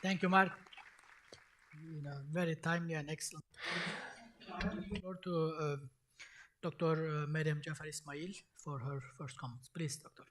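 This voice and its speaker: A man's voice: fairly high pitch, steady tone, a bit bright, slight background noise. A man moderating a conference panel, handing over the floor courteously with short hesitations. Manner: brisk, courteous hand-offs with hesitations.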